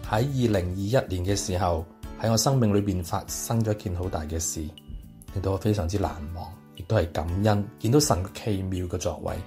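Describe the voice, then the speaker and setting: A man's voice: low voice, fairly quick. A middle-aged man with a Hong Kong Cantonese accent, telling a personal testimony and dropping in English words. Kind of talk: personal testimony, measured storytelling. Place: small padded recording studio. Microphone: studio microphone on boom arm. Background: soft guitar music.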